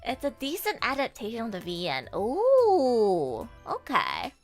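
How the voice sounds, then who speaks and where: A woman's voice: on the high side, measured pace, faint music behind. Chatty woman streamer with a sore throat. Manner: chatty, animated, casually swearing, addresses chat. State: sore throat, coming down with a cold. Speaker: VTuber streamer and voice actor. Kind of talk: casual livestream chat.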